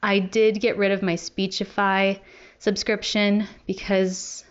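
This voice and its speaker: A woman's voice: mid-range voice, little pitch movement, takes it slowly, smooth, highs cut off. A young adult woman talking casually to viewers as a book vlogger. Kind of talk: casual chat to camera.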